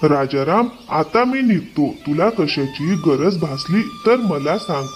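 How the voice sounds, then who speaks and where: A man's voice: fairly high pitch, lively intonation, slow, faint music behind. A man voicing a kindly, concerned village headman, sympathetic, in a cartoon moral story.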